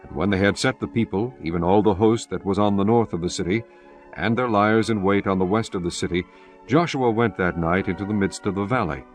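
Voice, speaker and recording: A man narrating scripture in a measured, solemn, formal way. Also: fairly low pitch, soft background music.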